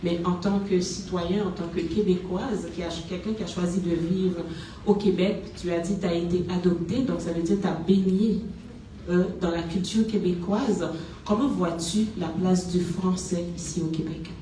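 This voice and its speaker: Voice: mid-range voice, steady tone, moderate pace, warm tone, slight background noise. An interviewer poses long, carefully framed questions in a formal but friendly way. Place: community centre hall. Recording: echoey room, voice from a distance.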